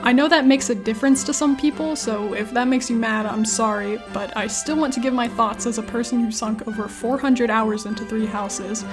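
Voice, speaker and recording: A woman's voice: on the high side, fairly even intonation, moderate pace, few pauses, music in the background. A woman hosting a scripted video commentary on games, with wry, self-deprecating asides. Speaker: video essayist, YouTube host.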